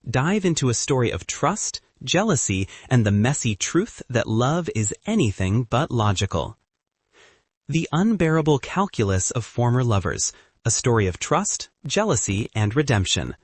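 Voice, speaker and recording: Male narrator, scripted storytelling, lively swooping intonation, slightly husky, dry recording. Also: mid-range voice, moderate pace, no echo. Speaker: audiobook narrator.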